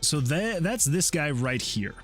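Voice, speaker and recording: A man hosting a game development livestream, chatting casually with viewers and rambling excitably as he thinks out loud. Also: a little high, unhurried. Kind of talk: casual chat with stream viewers while working. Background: background music.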